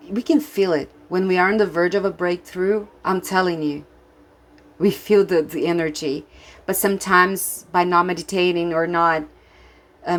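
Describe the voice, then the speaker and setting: Excitable Brazilian woman reading tarot. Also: mid-range voice. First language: Portuguese. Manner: excitable, laughs often, restarts sentences. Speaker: tarot reader.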